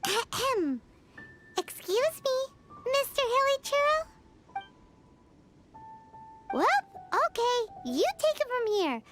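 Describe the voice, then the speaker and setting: Tiny, very squeaky childlike fairy companion. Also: female voice, sing-song, slow pace, pauses often, a bit bright, soft background music, exaggerated voice. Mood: chatty, playful. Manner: blunt, speaks of self in third person. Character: small childlike floating fairy companion, cheeky and skeptical. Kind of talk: animated video game acting.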